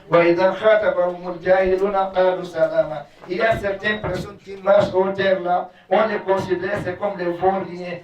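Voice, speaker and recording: A fairly high-voiced male preacher whose first language is Arabic recites prayers at a brisk clip, then explains them in slow, halting French. He is heard from across the room, with a roomy echo and a dull, muffled sound. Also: recorded from a distance. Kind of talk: sermon.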